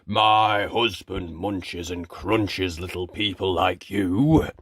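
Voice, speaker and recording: A storybook giantess, as a storyteller plays her, blunt and full of warning. She is brisk yet helpful, heard in a dry recording. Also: low voice, dry recording, pitched below the performer's own voice, exaggerated voice.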